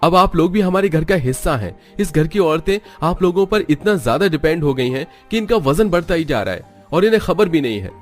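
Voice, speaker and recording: An indignant young master from a Hindi cartoon family drama, acted out by a man. He scolds emphatically, lifting his voice higher and louder. Light music plays behind him. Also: expressive, brisk, few pauses, lightly acted. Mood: exasperated, scolding. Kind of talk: Hindi cartoon story voice acting.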